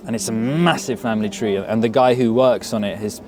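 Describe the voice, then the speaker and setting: A man's voice: on the low side, a little fast, darkish tone. A man with an English accent being interviewed outdoors, talking in a reflective, earnest way and repeating words as he thinks. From grew up in England. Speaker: interview guest. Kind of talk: casual interview. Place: outdoors at a street event.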